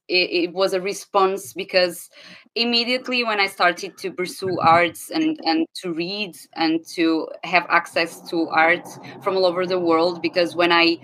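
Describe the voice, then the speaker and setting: A Portuguese woman in her thirties with a Portuguese accent, answering interview questions thoughtfully with many pauses and restarts. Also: on the low side, bright, forceful tone, poor audio. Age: 30s. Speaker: actress guest.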